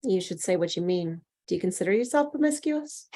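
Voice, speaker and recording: Woman playing a measured, probing psychiatrist. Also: on the low side, expressive, dark tone. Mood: probing, clinical. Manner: measured, deliberate, clinical. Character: composed, probing female psychiatrist, coolly authoritative. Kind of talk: screenplay table read.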